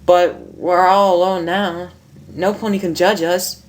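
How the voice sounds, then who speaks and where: A man's voice: sits high, flat delivery, measured pace, a little hiss or hum, pitched above the performer's own voice. A man voicing a nervous, lovestruck, brash young female cartoon pony with a flustered, stammering delivery.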